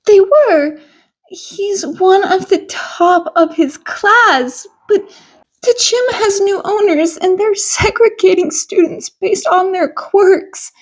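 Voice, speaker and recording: A woman voicing an anxious, gentle mother of a young boy in an audiobook story. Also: extremely high pitch, slow, pitched above the performer's own voice. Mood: worried. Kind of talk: audiobook character voice.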